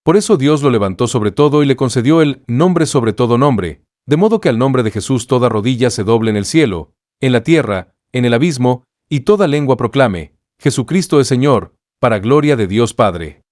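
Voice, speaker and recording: Man reading scripture, calm and even, dry mic. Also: mid-range voice, moderate pace, no room sound. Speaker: reader.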